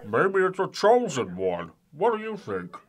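A dopey giant brute in a radio comedy. His pitch leaps around wildly, and he comes across as slow-witted and easily amused. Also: huge pitch swings, heavily acted. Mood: puzzled. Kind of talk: comedic audio drama acting.

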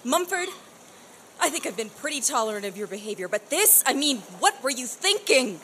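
A young woman playing an exasperated, sharp-tongued police officer in a live audio comedy. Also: sits high, sing-song, moderate pace, a little hiss or hum, heavily acted. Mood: exasperated, weary. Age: young adult. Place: small indoor stage with live audience. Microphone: studio condenser mic on stand. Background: live music and sound effects.

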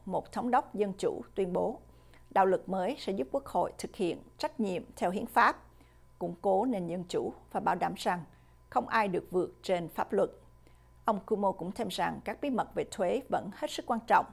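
A middle-aged woman anchors a studio newscast, reading the script in a steady, formal manner. Her voice sits at a middle pitch with a gravelly, fry-tinged edge, picked up close on a lapel mic. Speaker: news anchor. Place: studio with a virtual backdrop.

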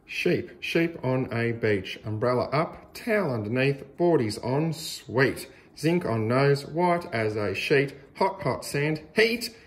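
An Australian man reading a picture book aloud to young children in a deliberate, sing-song way with exaggerated emphasis. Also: mid-range voice, lively intonation, a little hiss or hum.

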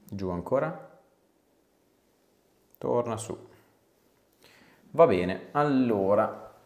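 A man's voice: lowish voice, creaky, a little mellow. A young man working as a chiropractor in a small treatment room, putting short questions and instructions to a patient in a casual consultation. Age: young adult.

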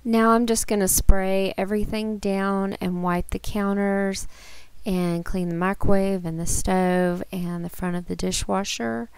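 A woman in her thirties giving a relaxed, chatty voice-over about cleaning her home kitchen. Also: medium pitch, measured pace, clear, resonant tone, dry recording. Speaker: cleaning vlogger.

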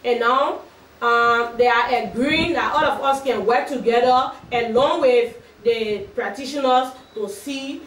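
Heard from across a small, echoey office, a middle-aged Liberian woman answers reporters in a fairly high, firm voice. She sometimes restarts a phrase before she settles on her point. The far-off camera pickup adds plenty of room sound. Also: unhurried, a bit bright. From Liberia, Nimba County. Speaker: advocacy group spokesperson. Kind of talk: press conference answers. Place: small office with shelves, indoors. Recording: roomy echo from a distant camera.

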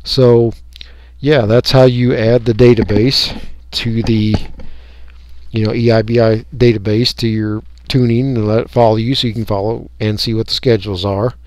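An American man giving a casual, unscripted software walkthrough, folksy and rambling as he thinks aloud. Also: fairly low pitch, level tone, moderate pace, no room sound. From United States. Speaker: radio hobbyist giving a software tutorial.

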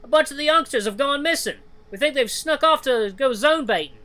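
A man's voice: very high-pitched, animated, brisk, sharp tone, pitched above the performer's own voice, exaggerated voice. A man voicing a frantic honey badger messenger in a story, talking in urgent, slangy bursts.

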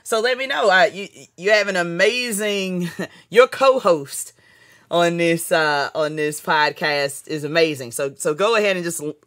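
A woman's voice: on the low side, a little slow, a bit bright, no room sound, studio quality. A woman, hosting a casual interview. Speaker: podcast host, interviewer.

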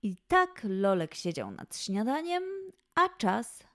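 A woman narrating a scripted children's story with an expressive, dramatised delivery. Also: medium pitch, takes it slowly, noisy background.

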